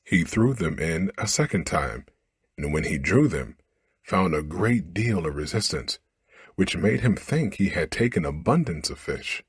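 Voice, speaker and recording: A man's voice: sits low, lively intonation, a little slow, slightly rough, dry recording. A man narrating an ASMR bedtime story, reading expressively into a close microphone.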